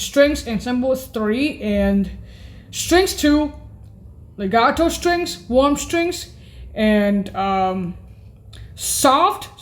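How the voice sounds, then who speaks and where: A woman giving an informal, halting demo of keyboard sounds, listing names one by one in a hesitant, deliberate way. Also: on the high side. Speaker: presenter demonstrating keyboard voice sounds.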